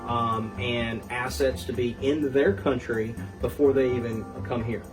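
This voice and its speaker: A man's voice: medium pitch, a little slow, far from the mic, faint music behind. Man explaining mortgage options. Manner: steady, explanatory, filler-heavy. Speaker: mortgage specialist guest. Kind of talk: explaining in an interview.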